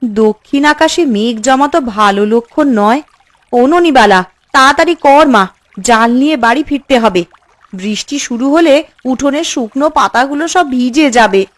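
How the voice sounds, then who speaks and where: A woman's voice: high-pitched, animated, normal speed. A woman voicing a scolding, hard-working village mother in a cartoon. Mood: concerned. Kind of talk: Bengali cartoon voice acting.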